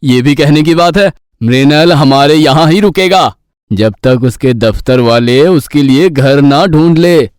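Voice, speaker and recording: A man's voice: on the high side, unhurried, a little mellow, clear, resonant tone, dry recording, studio quality, lightly acted. A man voicing an exasperated, grumbling village father in a Hindi cartoon story, scolding and doubtful. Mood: eager.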